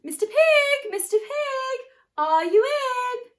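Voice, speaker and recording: A woman voicing a storybook wolf calling coaxingly at a door in a drawn-out singsong. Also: very high-pitched, animated, voice pushed up, exaggerated voice.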